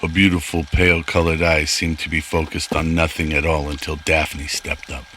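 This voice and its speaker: A man's voice: extremely low pitch, little pitch movement, moderate pace, fairly bright tone, slight background noise. A man narrating a scripted sci-fi radio drama.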